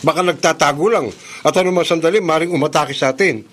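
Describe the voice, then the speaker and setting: A man's voice: a little high, quick pace, a little hiss or hum, close to an everyday voice. A man playing a guilt-ridden, fearful scientist in a radio drama, speaking earnestly and respectfully. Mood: worried, insistent.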